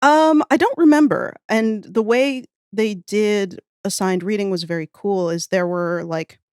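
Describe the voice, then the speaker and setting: A woman's voice: medium pitch, measured pace, a little mellow, clean tone, no echo, studio quality. An American woman from New Orleans chatting casually as a podcast guest, with an informal, self-deprecating, hedging way of talking. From New Orleans, United States. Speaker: podcast guest, audio fiction writer. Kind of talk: casual interview chat, reminiscing.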